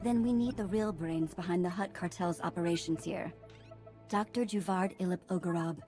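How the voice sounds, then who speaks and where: A woman's voice: lowish voice, moderate pace, warm tone, soft background music, natural voice. A woman voicing a serious, businesslike mission briefer in a sci-fi game, matter-of-fact and informative. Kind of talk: scripted game dialogue.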